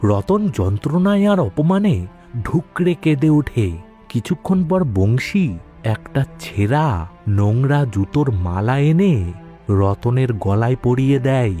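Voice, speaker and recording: A male narrator tells a dramatic story in a mellow, mid-pitched voice. His intonation rises and falls widely over soft background music. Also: sing-song, slow.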